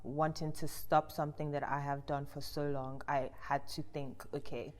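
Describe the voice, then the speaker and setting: Lively, low-voiced woman from Nigeria. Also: moderate pace. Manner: animated and expressive, laughs often. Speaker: guest, model and TV presenter. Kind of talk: casual conversational interview. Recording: long reverb from the room.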